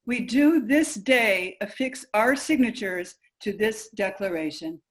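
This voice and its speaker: A woman's voice: mid-range voice, expressive, a little slow, close to an everyday voice. A woman playing a solemn, resolute nineteenth-century women's rights delegate, reciting formally in reader's theater.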